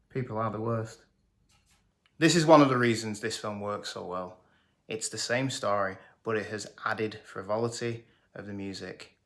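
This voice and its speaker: A man's voice: fairly low pitch, fairly bright tone. A man, presenting a scripted, jokey film review. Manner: jokey, sarcastic, enthusiastic. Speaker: movie reviewer.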